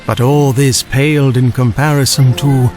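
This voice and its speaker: A man's voice: mid-range voice, steady tone, moderate pace, music in the background. A man reading a children's storybook as a scripted audiobook narrator.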